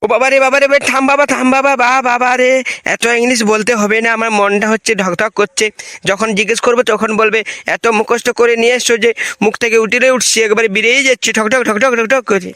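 A man's voice: very high-pitched, steady tone, fast, sharp tone, dry recording. A man voicing an easily amazed, effusive headmaster in a comedy cartoon, exclaiming and repeating himself in a rural West Bengal accent. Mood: amazed, flustered. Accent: rural West Bengal (Bankura). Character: gushing, easily amazed visiting male headmaster. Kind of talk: comic cartoon voice acting.

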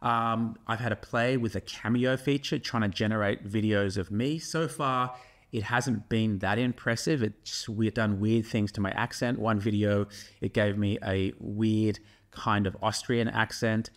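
A man's voice: lowish voice, steady tone, unhurried. A middle-aged man hosting an explainer talk to camera in a studio, thoughtful and putting questions directly to viewers. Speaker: host and neuroscience professor. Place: studio in front of a brick wall.

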